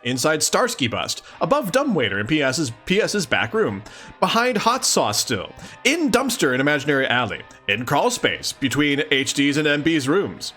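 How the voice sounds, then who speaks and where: A man's voice: on the high side, big pitch swings, normal speed, pushed and bright, faint music behind. A man doing a casual live read-along of a comic, reading expressively and adding mock-incredulous asides. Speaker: streamer reading a comic aloud.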